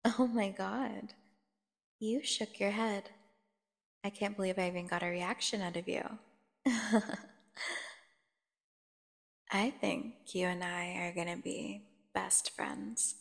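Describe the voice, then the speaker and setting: A woman playing a seductive, dangerous captive vampire in an ASMR fantasy horror roleplay, teasing and theatrical, with a creaky voice, speaking straight to the listener. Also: mid-range voice, fairly even intonation. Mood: mocking, amused. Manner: teasing, slow, theatrical, addressing the listener directly. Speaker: audio roleplay performer. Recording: long echoing reverb.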